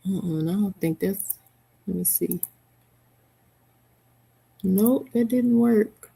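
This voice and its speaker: Middle-aged woman, unhurried livestream host on a headset mic, chatty and laughing, greets viewers by name. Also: medium pitch, gravelly, mellow, breathy edge, rough recording. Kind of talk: casual live-stream chat with viewers.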